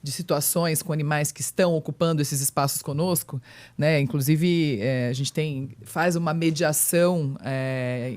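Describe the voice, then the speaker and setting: A woman hosts a relaxed podcast chat. She talks haltingly, piecing thoughts together and checking in for agreement. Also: sits low. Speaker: podcast host, animal charity president.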